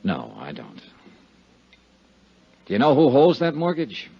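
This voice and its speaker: An actor with an American accent playing a shrewd, wry, clipped insurance investigator in a 1950s radio detective drama. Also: male voice, mid-range voice, huge pitch swings, unhurried, creaky, slightly rough, exaggerated voice. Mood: cool, firm. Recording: muffled, noisy 1950s radio recording.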